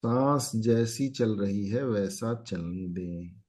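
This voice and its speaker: A man guiding a meditation as an instructor, speaking calmly in short phrases with long pauses. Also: lowish voice.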